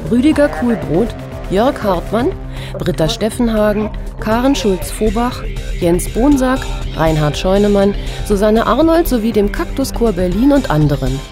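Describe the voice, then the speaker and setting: A woman's voice: mid-range voice, big pitch swings, measured pace, music in the background. A woman announcer reading scripted credits for a radio play.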